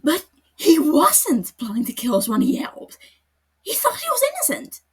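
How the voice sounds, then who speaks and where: A woman's voice: wildly swooping pitch, moderate pace, darkish tone. A woman reading an upset, pleading young male detective. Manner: pleading, appalled, raised pitch. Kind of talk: podfic character reading.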